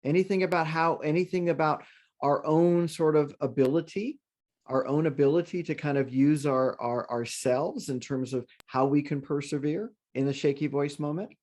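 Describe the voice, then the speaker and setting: A man's voice: on the high side, normal speed, a little mellow. A man presenting an online workshop in casual talk, friendly and rambling, often restarting his sentences. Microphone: video call.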